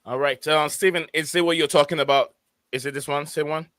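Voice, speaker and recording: A man hosting a football fan livestream, friendly and hesitant, filling pauses with "uh" as he reads quotes aloud. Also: on the high side, steady tone, a bit bright, no echo, studio quality. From outside the UK. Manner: friendly, hesitant, lots of "uh" while reading aloud. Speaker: livestream host.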